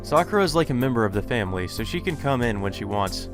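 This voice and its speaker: A man's voice: mid-range voice, very animated, fast. A man reading game text aloud as a let's player, informally, with casual asides and some stumbles. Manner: informal, stumbles and rereads lines. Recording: game music behind the voice.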